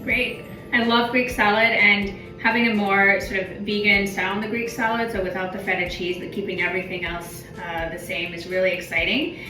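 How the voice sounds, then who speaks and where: A woman's voice: medium pitch, little pitch movement, fairly quick. A woman in her thirties hosting a cooking segment, eager and chatty, with frequent ums. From England, UK. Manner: enthusiastic, many fillers like um. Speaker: host, doctor. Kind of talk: upbeat cooking show hosting. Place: home kitchen. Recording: echoey kitchen, far from mic, faint music.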